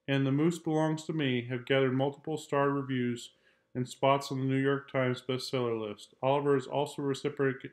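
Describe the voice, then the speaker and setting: A man, an art teacher, narrating a children's book read-aloud. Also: mid-range voice.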